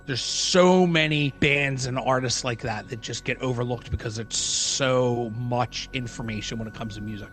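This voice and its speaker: A man's voice: mid-range voice, moderate pace, hardly any pauses, fairly bright tone, faint music behind. A man co-hosting a podcast in casual, slangy chat, repeating phrases for emphasis. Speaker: podcast co-host.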